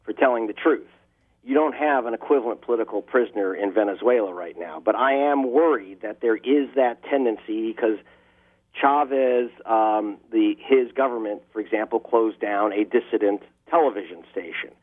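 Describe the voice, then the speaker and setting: A man's voice: fairly low pitch, normal speed. A man, a journalist, answering interview questions over the phone with impassioned, emphatic delivery. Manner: impassioned, building emphasis on key words. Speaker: investigative journalist guest. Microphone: telephone line. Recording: tinny phone line.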